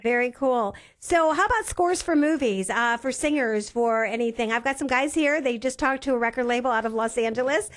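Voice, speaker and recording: High-voiced, upbeat female radio host. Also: brisk, hardly any pauses. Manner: upbeat, effusive, laughs easily. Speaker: radio talk show host. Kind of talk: enthusiastic talk radio hosting. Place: radio studio.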